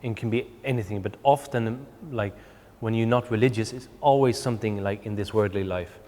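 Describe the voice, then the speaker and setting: A young man, his voice on the low side. He answers questions haltingly, full of "like" and "you know", restarting his phrases. The studio gives his voice a long, echoey tail. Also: mellow. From Sweden. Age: 20s. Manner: hesitant, many fillers like "like" and "you know". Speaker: guest. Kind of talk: interview answers.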